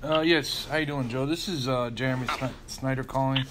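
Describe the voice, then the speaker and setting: A man's voice: medium pitch, steady tone, a little fast, very noisy. A man working as a public insurance adjuster asks questions on a recorded phone call, repeating words and restarting often. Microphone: telephone call recording.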